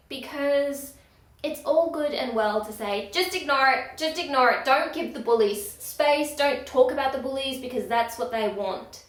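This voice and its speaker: A woman giving outspoken, emphatic commentary in a video blog, talking loudly and firmly about what she stands for. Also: on the high side, reverberant. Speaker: video creator. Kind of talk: opinionated commentary vlog.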